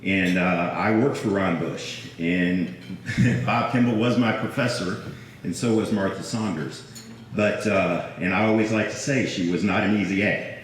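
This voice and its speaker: A man's voice: deep voice, measured pace, slight background noise. A man in his fifties giving a humble, self-deprecating acceptance speech at an awards ceremony. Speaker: hall of fame inductee. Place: large banquet hall. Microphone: podium microphone. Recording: distant, echoey hall over a PA.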